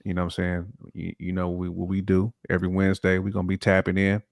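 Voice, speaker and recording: A man's voice: low voice, monotone, fast talker, creaky, dry recording, studio quality. A man co-hosting a podcast, chatting casually in slang peppered with filler catchphrases. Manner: slangy, peppered with "you know what I'm saying". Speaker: podcast co-host.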